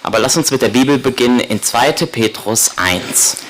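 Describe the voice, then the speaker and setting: A man's voice: mid-range voice, moderate pace, fairly bright tone, a little hiss or hum. A man at a conference, interpreting a lecture phrase by phrase right after the speaker. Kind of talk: consecutive interpreting. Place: conference hall.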